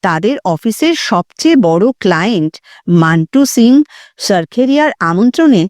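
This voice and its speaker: A woman narrating an audio story in an expressive, suspenseful, dramatic scripted style. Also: mid-range voice, moderate pace, dry recording, studio quality.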